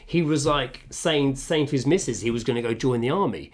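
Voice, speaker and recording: A man's voice: mid-range voice, brisk. A man co-hosting a podcast from a home kitchen, telling stories in casual chat and swearing freely. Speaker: podcast co-host.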